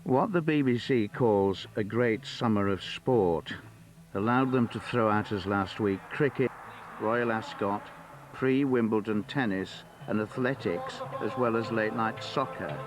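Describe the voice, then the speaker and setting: A man's voice: fairly low pitch, a little slow. A man giving a wry, sardonic scripted reading of a newspaper column. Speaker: reader of a critic's columns.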